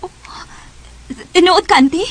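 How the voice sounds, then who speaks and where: A woman's voice: very high voice, unhurried. An actress playing an emotional, pleading young woman betrayed by her boyfriend, in a radio drama. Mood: uneasy, polite. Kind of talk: radio drama acting and first-person narration. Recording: music behind.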